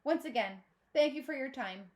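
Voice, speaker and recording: A woman voicing a humble, polite father in a storybook, speaking in a measured way. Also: sits high, lively intonation, darkish tone, voice pushed up. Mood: humble, firm. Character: humble, rule-abiding father, a taco truck driver.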